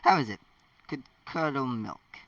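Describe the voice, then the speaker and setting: A man's voice: mid-range voice, animated, a little slow, fairly bright tone, dry recording. A man voicing an earnest, bossy, fretful teenage schoolgirl in an amateur story reading. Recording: dull lo-fi, distant mic.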